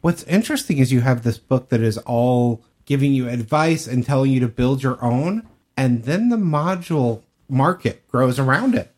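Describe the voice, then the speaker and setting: A man's voice: mid-range voice, expressive, normal speed, a little mellow. A man with a middle-range voice speaks as a podcast co-host. He talks casually and conversationally, without a script. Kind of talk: casual discussion.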